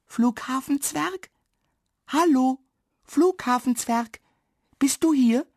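Woman as a high-voiced, eager little girl. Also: takes it slowly, darkish tone, no echo, studio quality, full character voice. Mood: calling softly. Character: kind, lively little girl, eager and plucky.

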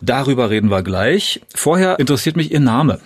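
A man hosting a radio interview, joking and quick with his questions. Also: mid-range voice, big pitch swings, slightly rough. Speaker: radio host.

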